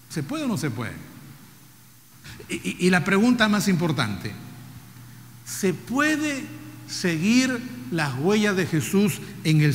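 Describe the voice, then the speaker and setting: A Peruvian man in his fifties preaching a sermon, who asks the congregation rhetorical questions, repeats key phrases and now and then drops to a soft, low voice. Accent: Peruvian Spanish. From Peru. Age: 50s. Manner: jokes with the audience, drops to soft low tones for emphasis. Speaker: pastor. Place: church hall. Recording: reverberant church hall.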